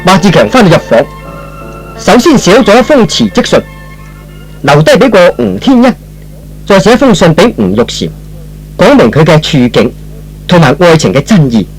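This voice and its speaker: A man's voice: high voice, big pitch swings, moderate pace, long pauses, faint music behind, low-quality recording. A man giving scripted narration in the style of old-fashioned radio drama.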